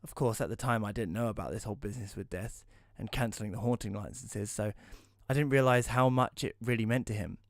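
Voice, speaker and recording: A man playing a hesitant magazine writer who tells his own story in an audio drama, in a conversational, slightly halting way. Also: lowish voice, no echo, studio quality, natural voice. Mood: relieved. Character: Rory, male magazine writer, hesitant, self-doubting narrator. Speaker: narrator and lead character. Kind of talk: first-person audio drama narration with dialogue.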